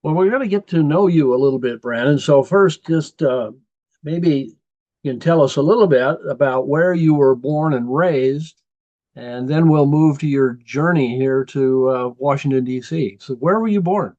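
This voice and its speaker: Male interview host, steady and hesitant, dry muffled video-call sound. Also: on the high side, no echo. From Canada. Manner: measured, hesitant with fillers. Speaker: guest host.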